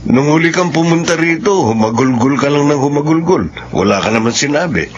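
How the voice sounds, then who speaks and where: An actor playing a concerned confidant with a Filipino accent in a radio drama. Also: male voice, a little high, animated, a little fast, muffled recording, natural voice. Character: concerned, shocked confidant of a betrayed wife.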